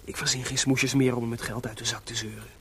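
An actor playing a playful, broke, impulsive young composer and husband in a Dutch radio drama, with a teasing, theatrical delivery. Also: male voice, medium pitch, flat delivery, fast talker, crowd noise, close to an everyday voice. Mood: flippant, carefree. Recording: noisy old radio play recording.

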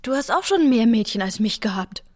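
Tender, spirited young wife. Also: female voice, a little high, expressive, fairly quick. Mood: upset. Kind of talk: German radio play acting.

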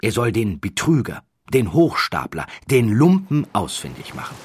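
A man narrating an audiobook as a dramatic storyteller, with deliberate, emphatic phrasing. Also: fairly low pitch, wildly swooping pitch, slight background noise. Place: dry studio.

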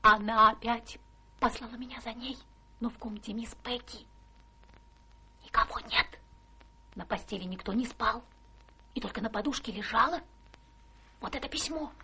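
An actress playing a timid, flustered, sentimental servant-companion in radio-drama style, husky and breathy, with a hesitant, tremulous manner. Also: female voice, fairly high pitch, normal speed, long pauses, pushed and bright, full character voice. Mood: alarmed, hushed. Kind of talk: stage-trained radio drama acting.

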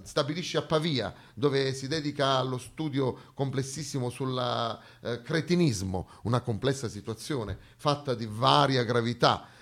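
A man's voice: mid-range voice, fairly bright tone. A man performs satirical theatre. He delivers a mock lecture, breaking off for ironic asides to the audience. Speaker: stage performer, satirical monologist.

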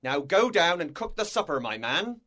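A man's voice: mid-range voice, expressive, measured pace, fairly bright tone, pitched above the performer's own voice. Man putting on a stern, curt ship's captain. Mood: commanding. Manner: curt, commanding. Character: stern, blunt, distrustful male ship's captain. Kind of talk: storybook character dialogue.

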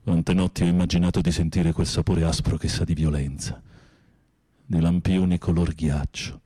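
An actor playing a tormented, fearful, lovesick former teacher in prison in a radio drama, husky and pleading, with a poetic, theatrical delivery. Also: male voice, sits low, little pitch movement, normal speed, mellow, no room sound, clean studio sound. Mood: anguished longing. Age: middle-aged. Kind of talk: intimate radio drama acting.

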